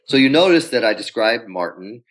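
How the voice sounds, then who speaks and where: A man being interviewed as a pitch coach, telling anecdotes and acting out quoted dialogue. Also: animated, brisk, a bit bright. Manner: storytelling, acts out quoted dialogue.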